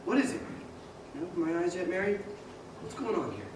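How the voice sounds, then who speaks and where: A male actor plays an elated, frantic family man who exclaims everything with breathless joy. His pitch is high and swings widely. It is a stage radio play, caught from a distance in a very echoey hall. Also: very animated, fairly quick. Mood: bewildered wonder. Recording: roomy theater stage, distant mic, faint noise.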